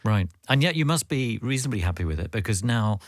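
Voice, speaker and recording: Enthusiastic low-voiced male radio host. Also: expressive, fast, dry recording, clean studio sound. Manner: enthusiastic, full of praise. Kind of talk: radio interview. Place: radio studio.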